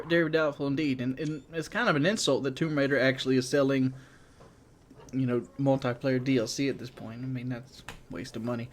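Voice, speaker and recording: A man giving casual, rambling game commentary as a YouTuber, blunt and monotone, with frequent restarts. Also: mid-range voice. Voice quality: self-described monotone.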